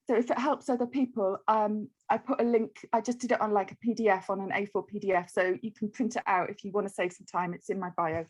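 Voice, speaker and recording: A woman's voice: medium pitch, fairly even intonation, quick pace, hardly any pauses. A woman from the United Kingdom chatting on a video call, hesitant and apologetic, with many ums. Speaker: quilt-along participant. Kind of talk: casual chat on a video call.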